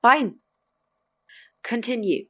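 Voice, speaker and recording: Slow, blunt, sarcastic performer as a young man. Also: mid-range voice, pushed and bright, no echo, lo-fi sound, exaggerated voice. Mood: disbelieving. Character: stern, incredulous young man confronting his experimenting housemate. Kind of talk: naturalistic audio drama acting.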